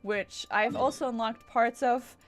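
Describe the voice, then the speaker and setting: A woman's voice: fairly high pitch, fairly even intonation, unhurried. A woman chatting casually on a gaming livestream, rambling, interrupting herself and swearing now and then. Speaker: game streamer. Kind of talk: casual livestream gameplay chat.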